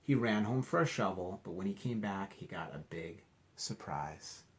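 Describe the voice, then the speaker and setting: A man doing an expressive read-aloud for children, chatting to his young listeners in asides and asking them questions directly. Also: low voice, normal speed. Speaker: children's storybook reader, teacher.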